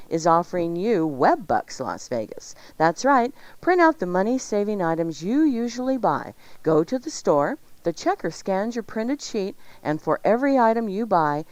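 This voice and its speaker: A woman co-hosting a radio show, announcing and reading ads in an upbeat, playful, emphatic way. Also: mid-range voice.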